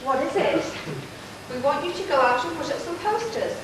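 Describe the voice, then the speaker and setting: A woman's voice: high-pitched, lively intonation, moderate pace. A woman playing a haughty, doting queen and indulgent mother in an amateur stage pantomime. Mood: coaxing. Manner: grand, cooing, mollycoddling. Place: church pantomime stage, far camera. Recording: echoey distant noisy amateur stage video.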